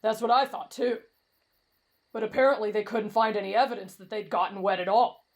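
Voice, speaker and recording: A woman's voice: medium pitch, steady tone, a little fast, close to an everyday voice. A woman voicing a brash, reckless teenage boy in an anime-style roleplay drama, cocky, blunt and dismissive. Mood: matter-of-fact, ominous. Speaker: voice actor in roleplay audio.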